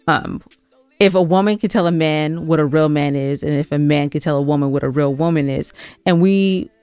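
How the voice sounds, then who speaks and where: A woman's voice: deep voice, darkish tone, clean tone, no room sound. A woman co-hosting a podcast in casual conversation, speaking in a direct, insistent way. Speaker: podcast co-host. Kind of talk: casual banter and chat with co-host.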